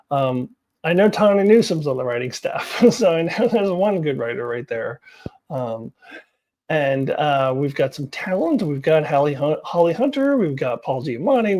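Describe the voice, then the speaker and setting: A woman's voice: deep voice, mellow. A woman vlogger chatting casually to viewers about her opinions, candid and self-deprecating, often laughing as she talks. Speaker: vlogger giving opinions on TV news.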